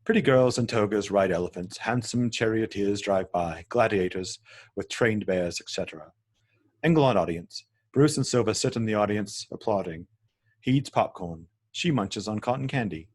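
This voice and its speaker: A man narrating screen directions at a script table read in a measured, descriptive way. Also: fairly low pitch, expressive.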